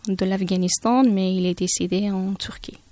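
A woman from Afghanistan with an Afghan accent, her first language Persian, speaking as a guest in a radio studio interview and pausing often to find her words. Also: mid-range voice, fairly even intonation, dark tone, slight background noise.

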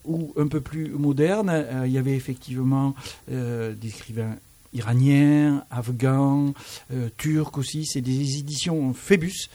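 A man's voice: medium pitch, steady tone, measured pace, warm tone, no room sound. A man hosting a radio studio interview, talking in a reflective, musing way with lyrical asides. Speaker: radio host.

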